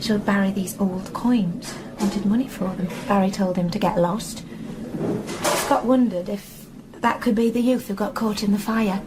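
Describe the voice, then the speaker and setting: A woman's voice: mid-range voice, steady tone, a little slow, lightly acted. An actress in a radio drama playing a worried, talkative mother, anxious and plain-spoken. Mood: confiding. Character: worried, talkative working mother of a wayward lad. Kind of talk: naturalistic radio drama acting. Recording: clear background ambience noise.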